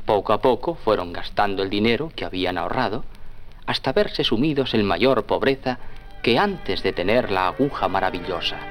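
A man's voice: lowish voice, lively intonation, moderate pace, faint music behind. A man narrating a scripted children's story as the storyteller.

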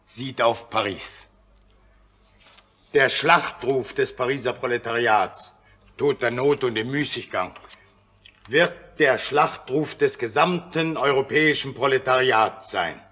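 An actor in a German radio drama playing a solemn, fervent revolutionary delegate, declaiming in measured phrases to an assembly. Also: male voice, medium pitch, pushed and bright, breathy edge, full character voice.

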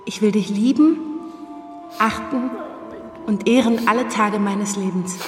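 In a strongly echoing church hall with faint music, a woman plays a devoted young bride. She speaks very slowly and hopefully, as in a period radio play. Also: medium pitch, lightly acted. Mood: solemn. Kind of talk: radio drama acting.

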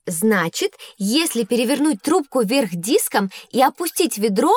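A curious young pupil in a children's audio drama, with a high, animated voice. The child is earnest and inquisitive, thinking things through aloud. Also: female voice, unhurried, no echo. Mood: thoughtful. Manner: inquisitive, careful reasoning aloud.

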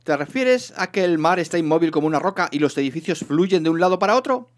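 A man voicing an excitable, breezy male space hitchhiker in a comic science fiction audiobook, airy, offhand and teasing. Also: fairly high pitch, fast talker, pitched above the performer's own voice, natural voice. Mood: flustered.

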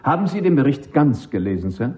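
An actor playing a principled, stubborn army captain and defence lawyer in a radio drama, precise, insistent and formally respectful. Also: male voice, mid-range voice, very animated, a little fast, warm tone, natural voice. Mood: insistent, probing. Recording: dull, muffled old radio recording.

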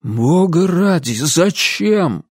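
A woman's voice: deep voice, lively intonation, slow pace, darkish tone, husky, no echo, studio quality, voice pushed up, heavily acted. A woman narrator voicing a devout young man who feels betrayed, anguished and accusing, in an audiobook. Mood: horrified.

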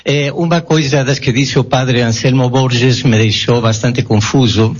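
A man's voice: mid-range voice, steady tone, unhurried, slightly rough. A man speaking Portuguese as a non-native, asking a careful and perplexed question from the audience at a public debate. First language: not Portuguese. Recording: dull lo-fi recording.

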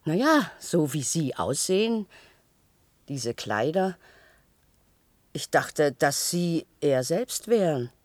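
A woman's voice: deep voice, sing-song, slow, pauses often, dry recording, heavily acted. An actress playing a conciliatory, dreamy and slightly muddled housewife in a German radio play, hesitant and musing as she talks. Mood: curious.

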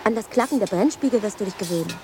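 Schauspielerin als neckende, zärtliche Geliebte mit Hintergrundgeräusch. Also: female voice, medium pitch, expressive, very fast, lightly acted. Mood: teasing, tender. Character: teasing, affectionate lighthouse woman, lover of captain. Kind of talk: radio drama acting. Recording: clear background ambience noise.

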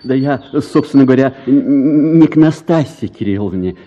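An actor playing a flustered, evasive young bachelor in a theatrical radio play, stammering and hesitant. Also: male voice, mid-range voice, animated, moderate pace, dark tone, highs cut off, lightly acted. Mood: awkward, evasive. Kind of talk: theatrical radio drama acting.

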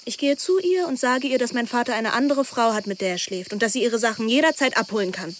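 An actress playing a young daughter who narrates a literary radio drama, observant and defiant. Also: female voice, on the high side, fast talker, a little hiss or hum, natural voice. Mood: indignant.

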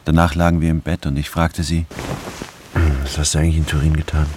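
An actor playing a brooding, introspective office anthropologist who narrates a radio drama in a measured way. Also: male voice, extremely low pitch, little pitch movement, noisy background. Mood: probing, puzzled. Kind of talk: scripted first-person radio drama narration.

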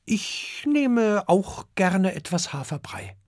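Performer as a high, polite little fire dragon. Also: very animated, slow, darkish tone, no room sound, clean studio sound. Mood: polite, curious. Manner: polite, explaining. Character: small, timid but clever young fire dragon boy.

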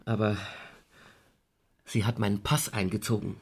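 An actor playing an earnest, troubled man under suspicion in a radio drama, with a husky voice and insistent delivery. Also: on the low side, flat delivery, unhurried, exaggerated voice. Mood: worried, earnest.

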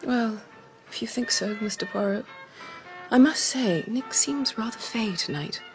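An actress playing a quiet, earnest and slightly nervous young woman with an English accent in a radio mystery drama. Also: a little high, unhurried, faint music behind. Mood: earnest, concerned.

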